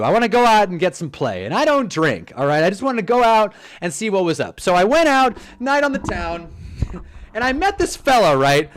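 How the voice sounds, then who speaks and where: A man's voice: high-pitched, smooth. A man in his twenties with a Californian accent, hosting a live stream and telling stories casually. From Southern California. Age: 20s. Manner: animated, swearing, storytelling. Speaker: streamer host.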